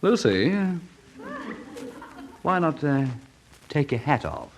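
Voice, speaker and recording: A man playing a feckless, amorous young English gentleman in a mid-century radio comedy, with a hesitant, stammering drawl. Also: fairly high pitch, sing-song, slow, slight background noise, heavily acted. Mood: tipsy, smitten.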